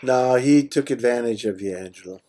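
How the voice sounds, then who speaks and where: A man's voice: mid-range voice, normal speed, mellow. A man with an American accent chatting casually on a phone call in a laid-back, matter-of-fact way, in short plain sentences. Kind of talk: casual personal phone chat.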